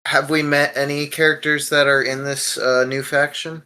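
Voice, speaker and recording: A man's voice: a little high, flat delivery, normal speed, bright, forceful tone, clean tone. A man hosting a casual podcast discussion, talking bluntly with swearing and steering with questions. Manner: profane, blunt, leads with questions. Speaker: podcast host.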